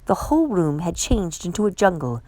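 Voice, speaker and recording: A woman reading a children's picture book aloud with measured, emphatic storyteller phrasing. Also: lowish voice, very animated, darkish tone, dry recording, low-quality recording. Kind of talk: expressive children's read-aloud narration.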